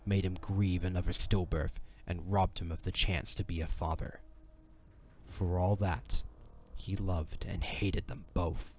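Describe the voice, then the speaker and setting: A man narrating a dramatic fantasy audio drama in a solemn storyteller's delivery. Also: low-pitched.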